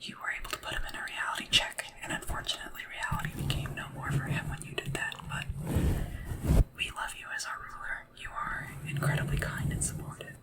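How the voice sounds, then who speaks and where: A woman speaking softly and whispering in an ASMR roleplay as a polite, hesitant, deferential sleep assistant to royalty. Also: fairly quick, distant mic, low-quality recording. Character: nervous, devoted royal sleep assistant to a dragon ruler.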